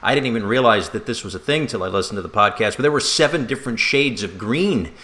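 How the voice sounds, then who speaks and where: A man co-hosting a sports talk show, speaking casually in a conversational, halting way with restarts. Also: medium pitch, expressive, sharp tone.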